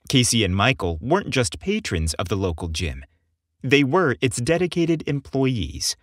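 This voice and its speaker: A man's voice: medium pitch, no echo, clean studio sound. A man hosting and narrating a scripted story podcast with theatrical, dramatic phrasing.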